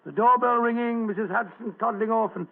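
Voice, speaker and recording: Casting: male actor as a genial, flustered sidekick, high-pitched and chummy, rough-edged voice, phone-thin vintage radio sound. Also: brisk, narrow, tinny audio. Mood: wistful, fond. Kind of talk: old-fashioned radio drama acting.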